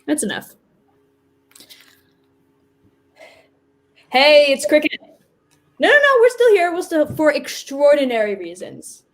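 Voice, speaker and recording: A woman's voice: high voice, very animated, normal speed. A woman actor in a staged play reading, playing Death as an exasperated, reluctant office worker with an emphatic, conversational delivery. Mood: earnest. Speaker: actor in a community theater reading.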